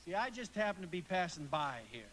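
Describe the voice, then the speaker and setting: Brief: stubborn young American suitor, high voice, hesitant and stammering, noisy live radio-play recording. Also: male voice, big pitch swings, fairly quick, fairly bright tone. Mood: evasive. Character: flustered, stubborn young man dodging courtship.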